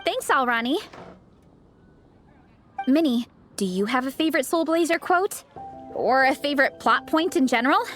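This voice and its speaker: A high, bright-spirited young heroine fan for a video game. She is earnest, encouraging and emphatic, with music clearly playing behind her. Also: animated, moderate pace, pauses often. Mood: enthusiastic.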